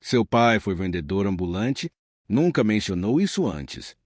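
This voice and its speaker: A man's voice: fairly low pitch, big pitch swings, measured pace, a little mellow, no echo, studio quality. A man reading the lines of a humble, determined woman in her late thirties, earnest and modest.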